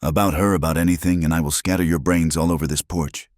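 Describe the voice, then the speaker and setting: A man voicing a gruff, fiercely protective frontiersman. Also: fairly even intonation, fast, darkish tone, no room sound, studio quality, lightly acted. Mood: menacing. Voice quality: low, gruff. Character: hardened frontier man, protective and quietly furious. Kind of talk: dramatic storybook narration.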